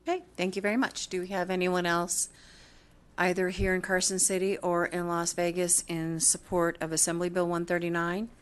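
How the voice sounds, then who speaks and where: Lower-voiced woman chairing a hearing. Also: level tone, smooth. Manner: brisk procedural. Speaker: committee chair. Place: legislative committee hearing room.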